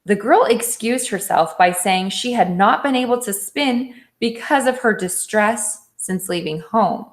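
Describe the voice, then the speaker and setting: Slow-paced woman teacher reading to children. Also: fairly high pitch. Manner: instructive, explaining simply with questions to listeners. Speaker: elementary school teacher. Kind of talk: read-aloud lesson with commentary for children.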